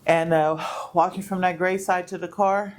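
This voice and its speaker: A woman's voice: lowish voice, steady tone, moderate pace, slight background noise. Playful teasing woman podcast host. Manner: playful, teasing, laughs often. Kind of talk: casual chat.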